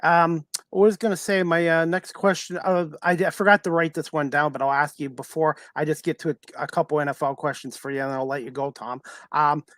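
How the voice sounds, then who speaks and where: A man hosting a sports talk show, asking questions casually in a halting, hesitant way. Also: on the high side, fairly even intonation, a bit bright, no echo, clean studio sound.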